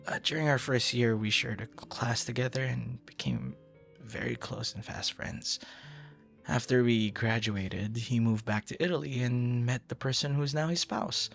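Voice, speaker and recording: A man performing an ASMR roleplay as an earnest, easily flustered young stranger, talking conversationally with hesitant repeats and hushed asides. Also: mid-range voice, gravelly, faint music behind. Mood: surprised, curious. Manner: hesitant, halting, soft-spoken asides, repeats words.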